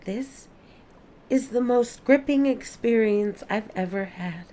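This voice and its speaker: A woman's voice: on the high side, takes it slowly, dark tone. A woman in an audio play playing an earnest, methodical alien witness who speaks in formal, precise phrases. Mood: earnest, gentle.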